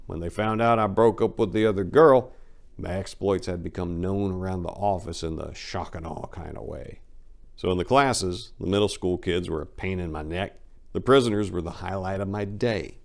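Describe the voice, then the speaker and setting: A man's voice: low-pitched, vocal fry. A man giving a dramatic first-person reading as a book narrator, telling stories in a brash, irreverent, slangy way.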